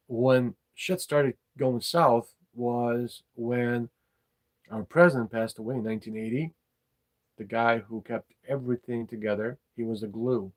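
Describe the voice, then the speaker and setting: A middle-aged man, talking casually and bluntly about his opinions, halting and repeating his words. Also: medium pitch. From former Yugoslavia. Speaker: podcast host.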